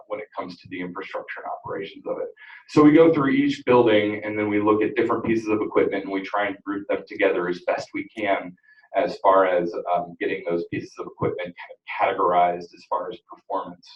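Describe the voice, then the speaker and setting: Man, very low loud voice, rambling meeting presenter, distant mic in echoey room. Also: muffled recording. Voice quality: self-described very loud voice. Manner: loose, rambling, many fillers and restarts. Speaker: energy audit presenter. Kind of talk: presentation at a school board meeting. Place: school district board meeting room. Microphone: room microphone at some distance.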